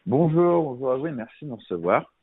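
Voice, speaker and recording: A man's voice: medium pitch, big pitch swings, quick pace, breathy edge. A middle-aged man, a musician guest on a radio interview, talking casually with many fillers and restarts. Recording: tinny phone-like radio sound.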